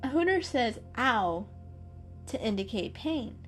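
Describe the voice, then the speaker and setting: A woman voicing a calm, kindly, bookish female cat in a storybook, explaining things gently and precisely. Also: a little high, takes it slowly, soft background music, voice pushed up.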